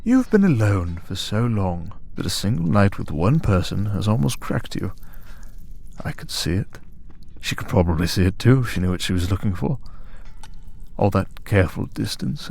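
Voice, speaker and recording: A man's voice: low-pitched, lively intonation, moderate pace, vocal fry, darkish tone, heavily acted. A man playing an urbane, taunting vampire villain in an audio drama, speaking in a mocking, leisurely, theatrical way. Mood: amused, needling. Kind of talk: scripted audio roleplay drama.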